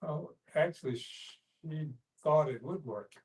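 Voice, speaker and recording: A man in a casual group discussion, speaking haltingly as he searches for his words. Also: a little high, a little mellow. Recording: group in room, distant mic.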